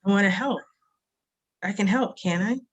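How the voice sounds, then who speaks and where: A woman's voice: mid-range voice, moderate pace, muffled recording, natural voice. A woman playing a blunt, curious young female friend in a drama script table read. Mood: eager.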